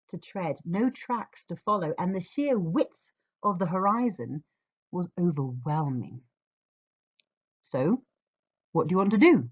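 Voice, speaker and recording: An English woman reading a scripted personal reflection in a measured, earnest, expressive way. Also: on the low side. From England. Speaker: author reading her foreword. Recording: slightly dull, muffled audio.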